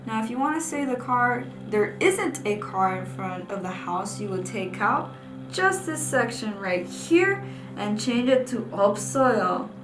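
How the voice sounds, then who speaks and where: A woman's voice: fairly high pitch, animated, moderate pace, music in the background. Young woman teaching at a whiteboard, echoey. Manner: clear, patient explaining, often pointing at a whiteboard. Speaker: language teacher. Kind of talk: scripted lesson narration.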